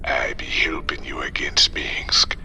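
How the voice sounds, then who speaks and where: An actor playing a sly, menacing psychic assassin and pirate in a video game cutscene, with a cool, taunting manner and a breathy growl. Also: male voice, bright, forceful tone, in a whisper. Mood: urgent, taunting. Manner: cool, taunting, drawn-out familiar address.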